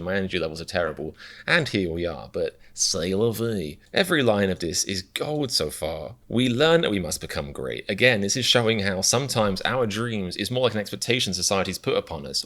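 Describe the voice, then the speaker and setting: A man's voice: low-pitched, fairly bright tone. A young British man hosting a reaction video, musing in a reflective, self-deprecating way with a lot of restarts. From Britain. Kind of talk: casual rambling commentary.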